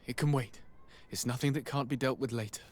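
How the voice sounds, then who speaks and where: Man playing an earnest young noble, medium pitch. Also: steady tone, darkish tone, lightly acted. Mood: reassuring. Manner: earnest, measured, formal. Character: loyal, resolute young male noble diplomat, protective and earnest. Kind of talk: dramatic video game acting.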